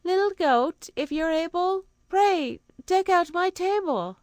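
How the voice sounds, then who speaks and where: Woman voicing a high, sing-song clever fairy-tale girl. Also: animated, slow, darkish tone, dry recording, studio quality, exaggerated voice. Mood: sing-song, coaxing.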